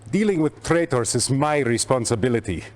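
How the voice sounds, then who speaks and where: An actor playing a stern, calculating Soviet military commander in a video game briefing, commanding and measured. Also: male voice, medium pitch, lively intonation, close to an everyday voice. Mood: menacing. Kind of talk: video game cutscene acting, mission briefing.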